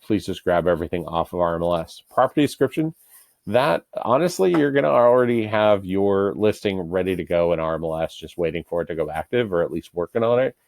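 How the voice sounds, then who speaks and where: A man's voice: low-pitched, darkish tone, no echo. A man with an American accent walking through an on-screen tutorial step by step in an informal, instructional way. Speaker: real estate broker giving a software tutorial. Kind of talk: casual screen-share tutorial.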